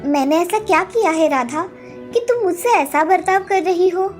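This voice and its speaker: A woman's voice: very high voice, expressive, moderate pace. A woman voicing a meek, hurt young stepsister girl in a submissive, pleading way for a cartoon moral story. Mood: meek, gentle. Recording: background music under the voice.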